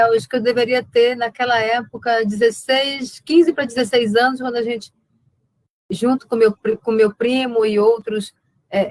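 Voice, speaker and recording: An invited woman writer on an online panel, northern Brazilian accent, thinking aloud and circling back over her words in a dry, echo-free spot. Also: mid-range voice. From Amazonas, Brazil (Alto Solimões). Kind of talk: conversational talk in a web conference. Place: remote web conference.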